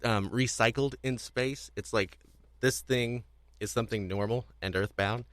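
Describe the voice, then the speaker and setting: A man's voice: mid-range voice, measured pace, fairly bright tone, dry recording. A man co-hosting a casual podcast discussion, talking in a rambling, self-repeating way with a readiness to chuckle. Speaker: podcast co-host.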